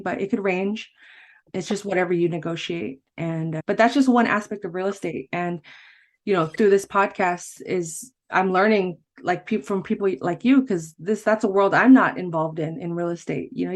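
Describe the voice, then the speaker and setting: Woman, podcast host, casual, chatty, rambling, mid pitch. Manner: chatty, rambling with restarts and frequent laughs. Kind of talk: casual interview chat.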